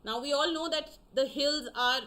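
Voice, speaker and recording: A young woman teaching an online class, explaining slowly with many fillers and repeating herself for her students. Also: sits high, pushed and bright. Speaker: English teacher.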